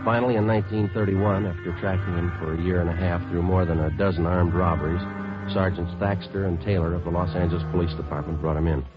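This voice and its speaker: A muffled vintage radio crime show with music behind. An actor plays an American detective sergeant with a low voice. He is terse and deadpan, moving briskly through the facts. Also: lightly acted. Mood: matter-of-fact narration. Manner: terse, deadpan, matter-of-fact. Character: terse, businesslike male police detective sergeant narrating his case. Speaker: lead actor and narrator. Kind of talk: 1950s radio police drama acting, clipped scripted narration.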